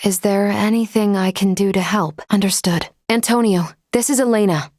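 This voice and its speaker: A woman playing a logical, composed female android officer in a video game, speaking formally and precisely in a measured, unemotional way. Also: medium pitch, darkish tone, dry recording, studio quality, lightly acted. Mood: calm, analytical.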